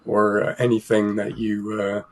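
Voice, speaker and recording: A man co-hosting a casual film podcast, sounding croaky from a cold and rambling with many fillers and restarts. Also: lowish voice, completely flat delivery, moderate pace. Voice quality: gravelly, husky. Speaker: podcast co-host.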